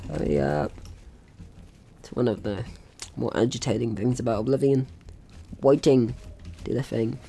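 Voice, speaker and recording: A man's voice: mid-range voice, expressive, a little slow, gravelly, long pauses, dark tone, slightly rough. A man doing casual let's play commentary, with playful asides and game text read aloud. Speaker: gaming video host.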